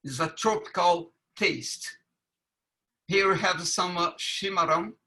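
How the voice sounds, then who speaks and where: A man giving a webinar presentation, halting with many fillers and restarts. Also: sits high, sharp tone. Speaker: business presenter.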